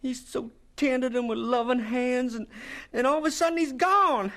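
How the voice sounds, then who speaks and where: An earnest young student in an audio drama. The voice is high and imploring, with plain, homely phrasing and a loyal, pleading temper. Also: female voice, moderate pace, fairly bright tone. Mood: sorrowful pleading.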